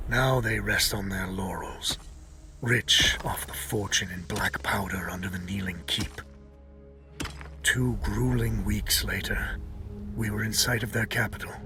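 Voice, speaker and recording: A man playing a wry, world-weary traveler who narrates a game story in a hushed manner. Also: lowish voice, unhurried, long pauses, music in the background, lightly acted. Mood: wry, brooding.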